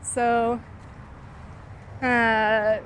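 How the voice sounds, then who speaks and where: A woman's voice: fairly high pitch, little pitch movement, very slow, fairly bright tone, slight background noise. A woman in her twenties from California, talking casually in an interview about her startup, with many filler words. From California, USA. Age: 20s. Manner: frequent "like" and "um" fillers, thoughtful. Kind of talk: casual interview while walking. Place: outdoors on a city street.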